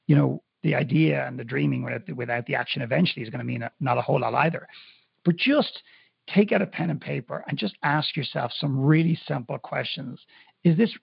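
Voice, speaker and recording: A man's voice: a little high, creaky, breathy edge, dry recording, lo-fi sound. A man from Ireland, a coach on a podcast, talking earnestly and emphatically with many fillers and restarts over a remote call. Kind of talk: reflective motivational talk.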